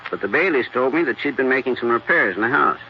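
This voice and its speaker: An actor playing a prim, formal family doctor with an American accent and a fussy, hesitant manner in a 1940s radio drama. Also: male voice, medium pitch, animated, quick pace, close to an everyday voice. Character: prim, matter-of-fact general practitioner doctor. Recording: dull, hissy 1940s radio recording.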